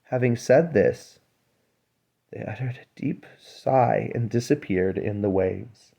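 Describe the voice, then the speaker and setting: A man reading a fairy tale aloud as a storyteller, in a measured, dramatic way. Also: medium pitch, creaky, mellow.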